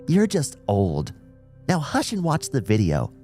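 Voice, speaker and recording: A young policewoman, acted out by a male narrator, pitched well above a low natural voice. The character is brash and excitable, with a teasing, slangy way of talking in an audiobook story, over light music. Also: unhurried.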